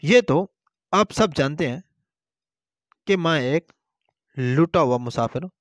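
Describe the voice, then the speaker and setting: A sly swindler from a moral folk tale , told by a male storyteller, posing as a humble and grateful traveller. He explains himself plausibly and very slowly, in a fairly high voice with a dry sound. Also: animated, no room sound. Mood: earnest, persuasive.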